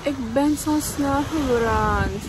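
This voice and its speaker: A woman in her twenties talks casually to her phone on a beach, slipping into English phrases. Her voice sits a little high and she takes her time. Waves and outdoor noise are clearly heard around her. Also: takes it slowly. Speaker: vlogger. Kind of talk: casual vlog chat to camera. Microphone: phone at arm's length.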